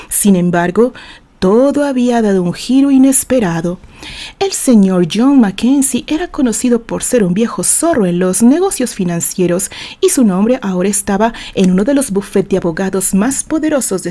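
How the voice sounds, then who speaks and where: A woman with a Mexican accent narrating a story in an expressive, deliberate, theatrical way, like a dramatic audiobook. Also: fairly high pitch. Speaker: author reading fiction aloud for own channel.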